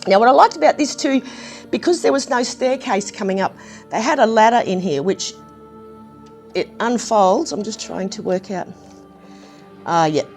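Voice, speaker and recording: A woman with a slightly croaky voice, chatting casually through a hands-on review of a building set, with plenty of ums and restarts. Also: medium pitch, animated, moderate pace, long pauses, a little mellow, faint music behind. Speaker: brick set reviewer, channel host.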